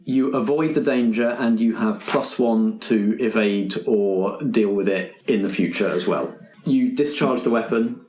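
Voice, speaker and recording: A man running a tabletop game as game master, improvising scene narration addressed to the players in halting phrases. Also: on the low side, a little mellow, slight background noise. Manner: second-person scene-setting in halting phrases. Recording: echoey room.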